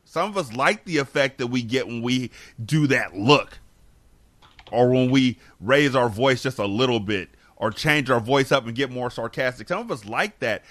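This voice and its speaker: Earnest guy hosting a podcast, thinking out loud, restarts a lot. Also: mid-range voice. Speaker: podcast host. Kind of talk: casual podcast discussion.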